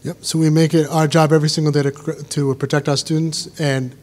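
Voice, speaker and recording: A man answering safety questions from a podium at a town meeting, in a brisk, reassuring manner. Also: fairly high pitch, little pitch movement, a little mellow. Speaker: school safety official. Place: school auditorium stage.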